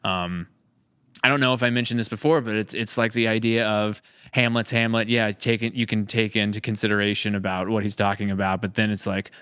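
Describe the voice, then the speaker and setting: A man's voice: on the low side, steady tone, fairly quick, fairly bright tone, smooth, dry recording, clean studio sound. A man hosting a podcast in casual conversation, talking in an enthusiastic, rambling way. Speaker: podcast host.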